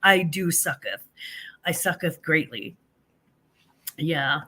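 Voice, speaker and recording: Chatty grandmother with a bright voice. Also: low voice, animated, slow pace, husky. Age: older. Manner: chatty, rambling, self-deprecating, reads and answers chat. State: stuffy from allergies. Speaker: livestream host. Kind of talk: casual livestream chat.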